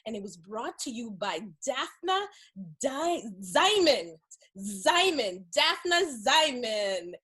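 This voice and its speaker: A woman with a fairly high, husky voice hosts a magazine interview. She gushes with enthusiasm and showers her guest with compliments. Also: big pitch swings, slow, a bit bright. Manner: effusive, gushing with compliments.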